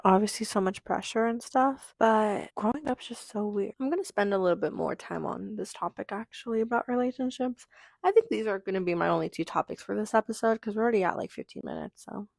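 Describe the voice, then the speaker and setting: A teenage girl hosting her own podcast, chatting in a rambling, confessional way with lots of fillers, her voice hoarse from losing it. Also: medium pitch, fairly quick, no room sound, studio quality. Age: teenager in high school. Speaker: podcast host. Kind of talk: casual confessional monologue.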